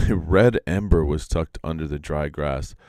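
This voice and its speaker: A man narrates a storybook tale aloud with expression. His voice sits low and has a creaky, gravelly edge. The recording is dry, with little room sound. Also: measured pace, dark tone, no room sound. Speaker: storyteller, reader.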